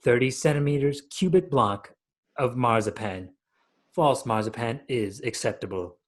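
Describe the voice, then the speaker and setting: A man's voice: medium pitch, unhurried. A man in his thirties narrating the scripted stage directions of a radio play over a video call.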